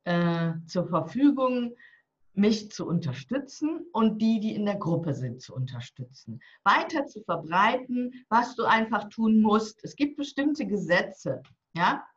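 A woman's voice: mid-range voice, animated, a little slow. A woman of about sixty talking bluntly and with humor in a casual interview, describing how she coaches people. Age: turning 60. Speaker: guest, divorce coach and author. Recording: slightly dull lo-fi audio.

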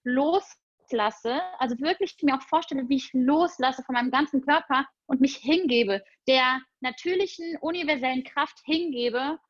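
Young woman, high voice, enthusiastic chatty guest; dry, muffled sound. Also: moderate pace, dry recording. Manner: enthusiastic, spiritual, chatty with fillers. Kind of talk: casual conversation.

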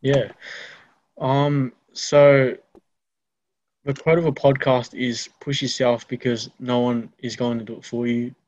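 A man's voice: mid-range voice, little pitch movement, slow, a little mellow, highs cut off. A young Australian man hosting a podcast interview in an informal, matey, chatty way. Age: teenager or young adult. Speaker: podcast host. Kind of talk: casual interview chat.

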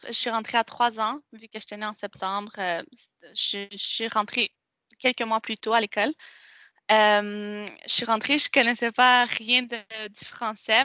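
A woman's voice: a little high, bright, forceful tone, no room sound. A nineteen-year-old woman from Montreal with a Québécois French accent, telling her story in a hesitant, casual way with many restarts and fillers. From Montreal, Quebec. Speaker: interviewee.

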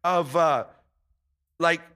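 High bright-voiced earnest male pastor. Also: fairly even intonation, drawn-out words, smooth. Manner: earnest, informal, with frequent restarts and "man". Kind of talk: conversational sermon.

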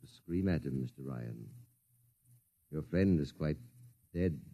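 An actor playing a calm, mocking Martian invader disguised as a man, in a 1950s radio drama. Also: rumbling low, takes it slowly, vocal fry, dull audio, exaggerated voice. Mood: sinister. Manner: soft, calm, mocking menace. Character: sinister smooth male Martian invader in disguise.

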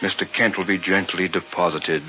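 A man's voice: deep voice, steady tone, moderate pace, sharp tone. An actor playing a pompous, evasive carnival showman and hypnotist in a radio horror drama. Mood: businesslike, careful. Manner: grandiose barker patter, curt when challenged. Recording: old muffled radio recording with music.

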